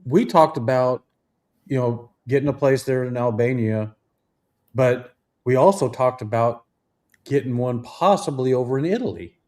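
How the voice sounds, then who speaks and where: A man's voice: mid-range voice, moderate pace, a little mellow. A man co-hosting a casual live video chat, easygoing and joking. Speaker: co-host.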